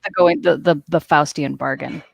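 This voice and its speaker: Wry middle-aged woman, creaky low voice. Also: fairly even intonation, normal speed, no echo. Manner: wry, self-deprecating, emphatic repetition. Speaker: interview guest, author. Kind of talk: casual interview answers. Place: dim indoor room at home, video call.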